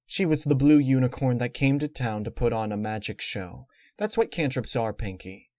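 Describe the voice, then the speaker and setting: A man reads as a cold mare villain in a dark pony tale. The delivery is measured and lecturing, quietly menacing, at a medium male pitch with a very dry sound. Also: lively intonation, dry recording, natural voice. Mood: calm menace. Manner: measured, matter-of-fact, lecturing. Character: cold, calculating female unicorn villain, calmly menacing.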